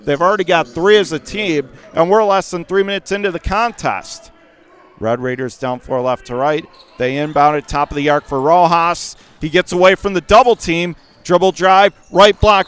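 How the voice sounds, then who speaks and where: Man calling high school basketball play-by-play. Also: clear, resonant tone. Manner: rapid, rising to shouts on big plays. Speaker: radio play-by-play announcer. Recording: radio broadcast call, ball bounces behind.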